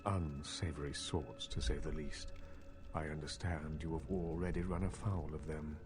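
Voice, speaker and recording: A very low-voiced elder vampire from a video game, speaks over prominent music. He is cultured and scheming, choosing formal words in a measured, condescending way. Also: steady tone. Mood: measured, dismissive. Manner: formal, measured, courtly speech. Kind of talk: video game character dialogue. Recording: loud game music behind.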